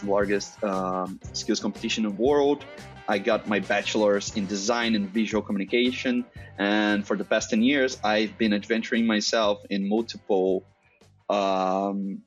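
A man from Brazil, a Portuguese native speaker with a Brazilian accent, hosting a podcast interview in a measured way with frequent "uh" fillers. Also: fairly low pitch. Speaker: podcast host. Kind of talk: semi-scripted podcast intro and interview.

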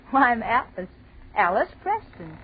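An actress playing a timid, uneasy college student in a 1940s radio drama, with a nervous, halting delivery. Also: female voice, a little high, big pitch swings, phone-quality sound. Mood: relieved, eager. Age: young adult. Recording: scratchy, thin 1940s radio recording.

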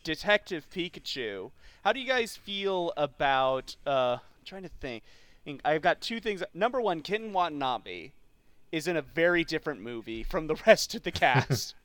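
A man with a moderately high voice hosts a casual conversation. He steers the discussion along in an informal, conversational style. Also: very animated, moderate pace, fairly bright tone, no room sound. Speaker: podcast host steering discussion. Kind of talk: casual chat.

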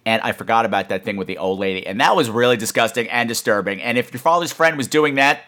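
A man's voice: medium pitch, fast, fairly bright tone. A man hosting a horror podcast in a casual, campy, exclamatory way, peppering his chat with profanity. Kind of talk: casual chat responding to listener voicemails.

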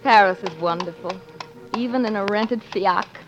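A woman's voice: medium pitch, moderate pace, music in the background, lightly acted. An actress playing an ambitious, romantic newspaperwoman, earnest and witty, in 1940s radio drama style. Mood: playful, tender. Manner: earnest, dramatic, clipped wit. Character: ambitious, romantic American newspaperwoman torn by love. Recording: muffled 1940s radio recording.

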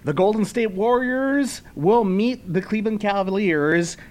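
A man from Los Angeles reads the day's headlines on a casual podcast, his voice sitting a little high. He keeps it playful, laughing and stumbling over words as he goes. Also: measured pace, darkish tone. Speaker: podcast host reading news. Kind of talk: casual news reading and banter.

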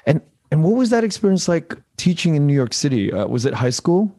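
Mellow-voiced man interviewing. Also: fairly high pitch, very animated. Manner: tentative, halting, repeats words while forming questions. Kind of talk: casual interview questioning.

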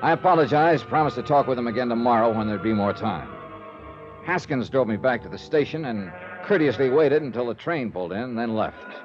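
An actor playing a wry insurance investigator narrating in the first person in a 1950s radio drama. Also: male voice, medium pitch, lively intonation, fairly quick, lightly acted. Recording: dull lo-fi 1950s radio with music.

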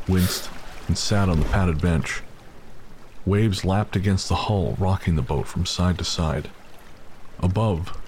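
Deep-voiced man narrating an audio drama. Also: darkish tone, a little hiss or hum. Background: music.

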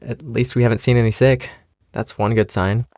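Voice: mid-range voice, steady tone, normal speed, a little mellow, no room sound, lightly acted. An actor playing an anxious, eager young male survivor in an audio drama. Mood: uneasy.

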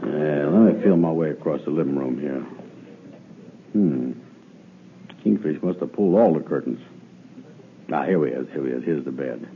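An actor playing a slow, gullible, weary man in an old radio comedy, with a drawling, ungrammatical manner. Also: extremely low pitch. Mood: weary, puzzled. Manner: drawling, ungrammatical, muttering to himself. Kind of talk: 1950s radio comedy acting. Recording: narrow phone-like old radio recording, loud noise.

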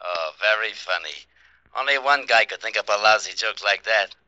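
낮고 퉁명스러운 경사, 먹먹한 녹음. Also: male voice, on the low side, normal speed, sharp tone, dry recording. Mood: sour, annoyed. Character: sour, unamused male police desk sergeant. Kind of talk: 1940s-50s radio drama acting. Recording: dull lo-fi old radio recording.

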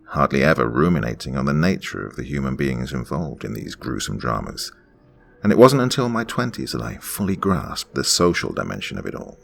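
A man in his forties from Pennsylvania, reading a reflective first-person story in a measured way. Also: extremely low pitch, vocal fry, fairly bright tone, slight background noise. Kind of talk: scripted first-person narration.

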